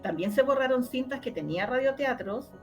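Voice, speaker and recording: A woman's voice: high voice, animated, brisk, soft background music. A Chilean woman answering interview questions conversationally, emphatic and repeating phrases for stress. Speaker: interviewed radio drama creator.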